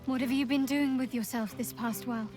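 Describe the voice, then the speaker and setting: A woman performs a devout dark priestess from a video game, commanding yet wistful. Her voice sits fairly high, and she speaks formally and intimately, with measured, wry poise. Faint music plays behind her. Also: fairly even intonation, lightly acted. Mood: coy, intimate. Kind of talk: video game character dialogue. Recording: game dialogue over background music.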